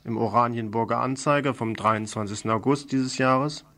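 Guy reading scripted radio news, kinda gravelly creaky voice, medium pitch. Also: moderate pace, pushed and bright.

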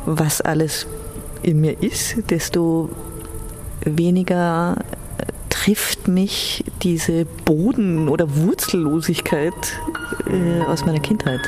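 A woman's voice: deep voice, steady tone, slow pace, a little mellow, faint music behind. A woman speaking reflectively, giving a personal account.

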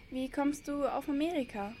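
A woman's voice: high-pitched, unhurried, warm tone, slight background noise. A woman playing a cool, frustrated young street songwriter in a radio play, talking in a casual, slangy, hesitant way. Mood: amused, scornful. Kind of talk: German radio play dialogue.

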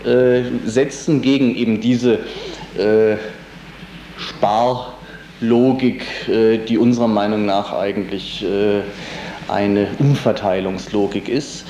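A man gives a spontaneous interview as an organizer, feeling his way through sentences with frequent hesitations. His voice is dark and relaxed, at an average pitch. The room echoes around him and there is faint noise behind. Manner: halting, searching for words, many hesitations.

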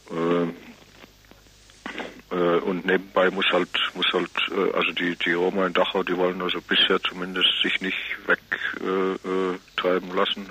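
A man with a gravelly, raspy voice giving a spontaneous interview answer, very haltingly, with frequent hesitations and repeated words. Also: sits low, sharp tone, low-quality recording. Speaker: anti-racism alliance representative, interviewee.

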